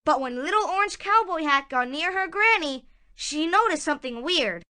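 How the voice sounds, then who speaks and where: A woman's voice: sits high, lively intonation, moderate pace, sharp tone. A woman narrating a scripted children's story in a dramatic storytelling manner.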